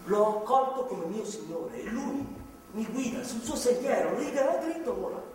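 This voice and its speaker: In a reverberant hall, far from the microphone, a desperate reformed-alcoholic husband on stage. His high voice begs and stumbles, fervent and volatile, imploring with newfound religious zeal. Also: animated. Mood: contrite, broken. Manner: halting, imploring, swinging from hushed to shouting. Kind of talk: theatrical stage acting, impassioned monologue. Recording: echoey theatre hall, distant mic, faint noise.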